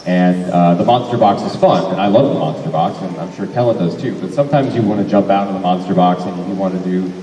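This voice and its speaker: A man's voice: low-pitched, quick pace, few pauses, warm tone. A young man and voice actor answering fan questions at a convention panel, talking in a rambling way and correcting himself often. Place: large convention hall. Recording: echoey hall, mic from far off, faint noise.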